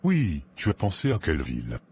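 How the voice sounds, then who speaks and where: A man's voice: rumbling low, wildly swooping pitch, fairly quick, exaggerated voice. A man playing a supportive, practical business friend in a cartoon drama, agreeable, with faint noise. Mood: interested. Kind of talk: animated moral drama dialogue.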